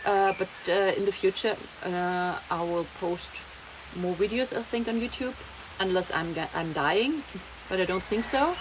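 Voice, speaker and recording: A woman's voice: mid-range voice, measured pace. A young woman with a German accent, rambling in a casual vlog monologue and slipping German words into her English. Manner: rambling, chatty, laughs often, slips German words in. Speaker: vlogger. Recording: noisy background, rain heard.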